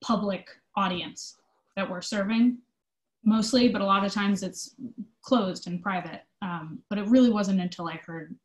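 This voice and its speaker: A woman's voice: medium pitch, measured pace. A woman giving earnest, thoughtful interview answers in casual, conversational phrasing. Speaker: theater company manager being interviewed. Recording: echoey room sound.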